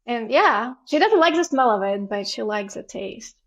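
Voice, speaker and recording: Gravelly Ukrainian-accented woman chatting. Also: on the high side, expressive, normal speed, a bit bright, muffled recording. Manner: storytelling, acts out family dialogue. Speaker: guest. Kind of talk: casual podcast chat.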